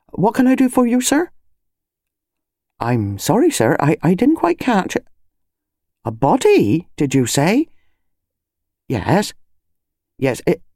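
A woman voicing a male village police constable in an audiobook, gruff and halting, then deferential to his betters. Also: high-pitched, mellow, no room sound, clean studio sound, pitched above the performer's own voice, heavily acted. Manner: halting, officious, repeats himself. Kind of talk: audiobook character dialogue.

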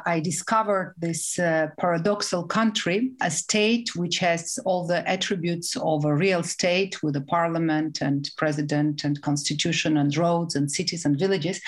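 Woman, low and careful. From Armenia. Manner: careful, searching for words. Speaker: film director, guest. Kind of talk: interview answers.

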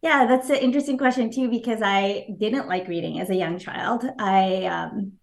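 A woman whose first language is Japanese, speaking as an author guest in an interview, thoughtful and hesitant with fillers and soft laughs. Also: mid-range voice, normal speed, creaky. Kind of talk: casual interview answers.

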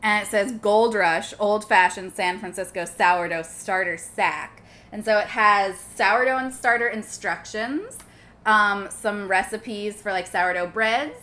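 A young woman with a plain, neutral American accent hosts a relaxed chat. Her voice is bright and forward, and her talk is loose and full of fillers. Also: medium pitch, measured pace. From Manhattan, New York. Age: young adult. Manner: casual, lots of "like" fillers. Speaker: podcast co-host. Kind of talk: casual chat. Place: apartment.

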